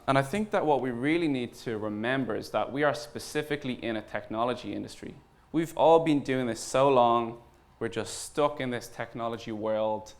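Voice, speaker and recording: A man with an Irish accent and a mid-range voice gives a conference talk. His delivery is informal but earnest, and he places deliberate pauses to stress his points. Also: medium pitch. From Ireland. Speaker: conference speaker, startup founder and designer.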